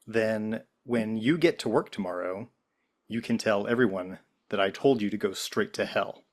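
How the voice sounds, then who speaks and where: A man playing an exhausted, indignant ordinary guy in a script table read, dry and halting in delivery. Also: fairly low pitch, close to an everyday voice.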